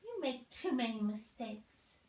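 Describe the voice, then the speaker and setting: A bossy mother bird from a picture book, acted out by a woman, with a high, swooping voice. She fusses and stresses her words emphatically, heard in a roomy home recording. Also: sing-song, unhurried, a little mellow, a little hiss or hum. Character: bossy, excitable mother bird, choosing a nest.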